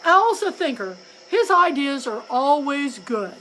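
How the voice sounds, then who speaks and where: A woman's voice: sits high, very animated, unhurried, sharp tone, a little hiss or hum, full character voice, low-quality recording. Woman doing a huffy, pompous husband crow. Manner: stiff, huffy and defensive.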